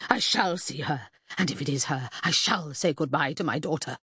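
Casting: haughty, grieving middle-aged mother, played by a female narrator, indignant and commanding, husky voice that climbs high, close dry sound. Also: normal speed, sharp tone, no echo, full character voice. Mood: icy, indignant. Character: grief-stricken, imperious, furious middle-aged mother. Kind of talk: audiobook character acting.

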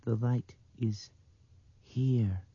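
A small girl's voice, put on by a male narrator, pitched far above a man's talk. She is dreamy and eerie, trembling with fear and insistent, in an audiobook horror story. The recording is slightly dull and dry. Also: fairly even intonation, drawn-out words, warm tone, no room sound, exaggerated voice. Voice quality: breathy, trembling. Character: frightened blind little girl, dreamy and eerily intense.

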